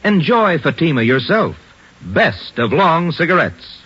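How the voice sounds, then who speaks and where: An American man reading a vintage radio advertisement as an announcer, with an emphatic, salesmanlike delivery and a husky voice. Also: on the high side, big pitch swings, measured pace, loud music behind the voice. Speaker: commercial announcer. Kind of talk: 1950s radio advertisement read. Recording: dull old radio recording.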